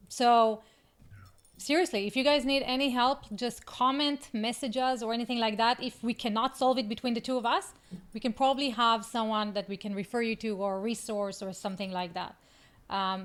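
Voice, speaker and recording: A woman with an Israeli accent talks through a casual live stream, upbeat and quick to laugh. Her voice sits a little higher than most women's. She speaks into a video call mic. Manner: upbeat, laughs often, fillers.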